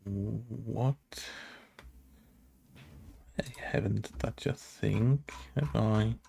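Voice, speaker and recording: A man talks to himself while coding, in a casual, muttered way. His delivery is extremely slow and halting, with long drawn-out gaps. The voice is mellow and full of heavy vocal fry. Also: on the low side. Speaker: game developer making a coding tutorial.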